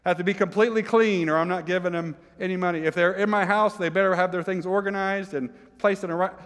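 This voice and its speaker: A man's voice: sits high, fairly even intonation, quick pace, fairly bright tone, big-room sound. A man preaching a sermon to his congregation, chatty and joking, often asking the listeners questions. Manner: conversational, jokey, asks the congregation questions. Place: church sanctuary.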